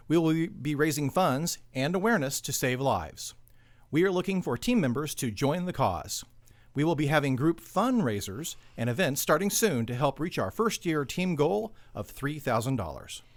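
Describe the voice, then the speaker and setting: A man reading a scripted charity announcement for radio as a promo announcer. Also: on the high side.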